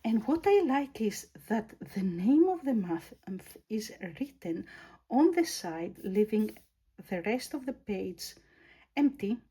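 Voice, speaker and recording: Greek-accented woman, planner vlogger, unhurried, soft husky tone. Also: on the high side, sing-song. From Greece. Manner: slow, thoughtful, searching for words. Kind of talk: casual product review.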